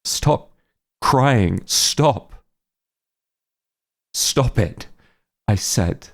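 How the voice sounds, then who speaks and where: A man playing a bitter, grieving werewolf hunter in an audio roleplay, gravelly and husky, speaking with halting, menacing intensity. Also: low-pitched, a little mellow, exaggerated voice. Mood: angry, agitated. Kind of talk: dramatic audio roleplay monologue.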